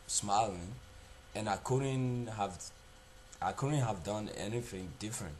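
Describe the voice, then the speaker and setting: A man performs a haunted young man confessing his memories. The delivery is very slow, halting and subdued, in a fairly low voice with faint noise behind it. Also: low-quality recording. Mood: guilty, troubled. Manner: halting, subdued, repeats himself. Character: guilt-haunted young man recalling a dead acquaintance. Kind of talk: confessional dramatic monologue.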